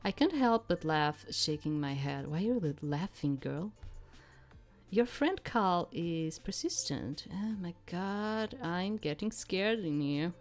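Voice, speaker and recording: Low-voiced woman doing game commentary. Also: animated, takes it slowly, faint music behind. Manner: rambling, hesitant, searching for words. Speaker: game streamer. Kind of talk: casual playthrough commentary with read-aloud game text.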